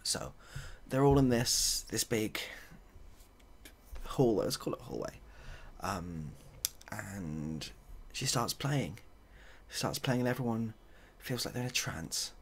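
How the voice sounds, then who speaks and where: A soft-spoken man improvising a story in a hesitant, dry, rambling and self-deprecating way. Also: fairly low pitch, lively intonation, slow pace, breathy edge. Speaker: ASMR video host.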